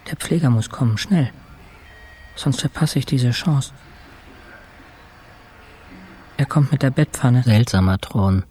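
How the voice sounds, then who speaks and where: An actor playing a weakened, introspective hospital patient in a radio play, speaking in measured, terse fragments. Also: male voice, medium pitch, a little mellow, slight background noise, close to an everyday voice. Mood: brooding, raw. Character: weakened male hospital patient, introspective, haunted, recounting his ordeal. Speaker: actor narrating a radio play in first person. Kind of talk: literary radio drama monologue.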